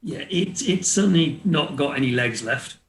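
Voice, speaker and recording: A man narrating as game master of a tabletop roleplaying game, explaining in a hesitant, halting way. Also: fairly high pitch, expressive, reverberant.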